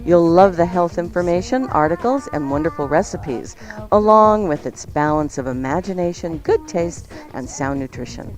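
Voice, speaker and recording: A woman's voice: fairly low pitch, darkish tone. A woman hosting a talk radio show, presenting segments and reading sponsor copy.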